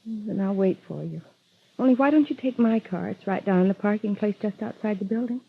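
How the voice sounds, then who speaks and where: A tinny old radio recording carries a coy, clinging girlfriend. Her voice is husky and creaky, her manner intimate and coaxing. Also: mid-range voice, fairly quick. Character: coy, clinging female sweetheart of a detective. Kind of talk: 1950s radio drama acting. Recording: tinny, band-limited vintage radio recording.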